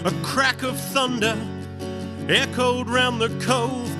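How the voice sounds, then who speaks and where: A woman performing a folktale in a drawn-out, song-like narration. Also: on the high side, steady tone. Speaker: folk performer. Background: prominent guitar folk music.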